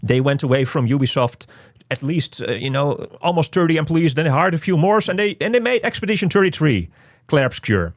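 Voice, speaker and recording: A man's voice: a little high, lively intonation, fairly quick, hardly any pauses, no room sound, clean studio sound. A man casually hosting an unscripted video game review, chatty and rambling, often repeating words and phrases. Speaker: host of a game review channel.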